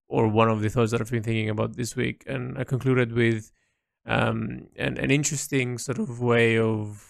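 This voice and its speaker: A man recording a reflective, unscripted audio diary, thinking aloud haltingly with repeats, in a creaky voice. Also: mid-range voice, no room sound, clean studio sound. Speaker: painter recording an audio log.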